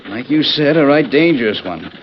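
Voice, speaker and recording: An actor playing a folksy, insinuating frontier stagecoach traveler with a rustic Western drawl, in old-time radio western style. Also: male voice, medium pitch, animated, normal speed, natural voice. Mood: folksy, probing. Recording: muffled, hissy old radio broadcast.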